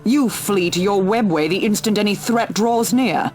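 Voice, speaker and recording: A woman's voice: medium pitch, normal speed, a little mellow, faint music behind, close to an everyday voice. A woman voicing a cold, threatening human inquisitor in a video game, formal and contemptuous. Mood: cold, resolute.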